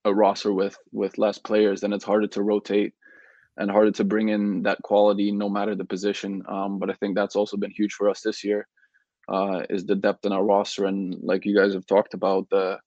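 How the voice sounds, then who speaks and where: A man's voice: fairly low pitch, a little mellow, no echo. A young man who grew up in Belgium, a soccer player answering interview questions casually with many restarts and fillers. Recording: dull lo-fi remote audio.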